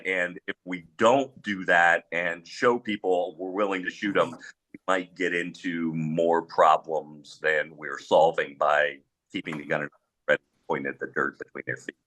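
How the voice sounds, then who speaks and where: A middle-aged man speaking on a discussion panel as an experienced police trainer, in a measured way with pauses and firm opinions. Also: sits low, bright, forceful tone. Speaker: panelist, former police officer.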